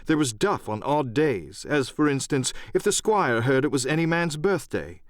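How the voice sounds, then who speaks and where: A man narrating an adventure novel as dramatic, scripted storytelling in a measured literary style. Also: medium pitch, lively intonation, no room sound, clean studio sound. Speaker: audiobook narrator and voice actor.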